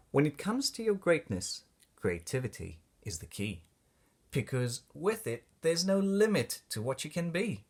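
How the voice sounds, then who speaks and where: A man reading a rhyming children's storybook aloud in an expressive, deliberate way for young listeners. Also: mid-range voice, breathy edge. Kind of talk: read-aloud storybook narration of rhyming verse.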